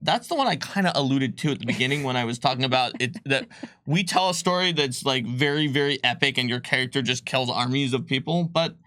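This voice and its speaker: A man's voice: medium pitch, quick pace, bright, forceful tone, no echo. A man co-hosting a casual livestream, explaining game rules with many fillers and restarts. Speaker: game designer co-host. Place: studio.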